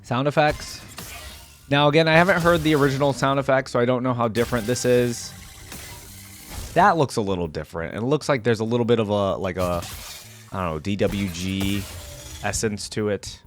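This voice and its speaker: A young man giving casual, expressive reaction commentary as a video host, easily amused. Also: mid-range voice, moderate pace. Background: game audio and music.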